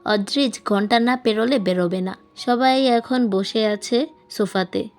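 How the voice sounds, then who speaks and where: High-voiced woman narrating a story over music. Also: a little slow, darkish tone. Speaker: storyteller reading a novel aloud. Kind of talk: scripted audiobook narration with acted dialogue.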